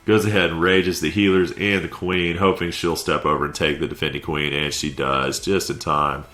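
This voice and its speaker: Stuffy-nosed man, extremely low voice, easygoing game recap commentary, faint music underneath. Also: steady tone, moderate pace, pushed and bright. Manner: laid-back, conversational, with light chuckles. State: stuffy from a head cold. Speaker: clan war recap commentator. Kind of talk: casual video game play-by-play voice-over.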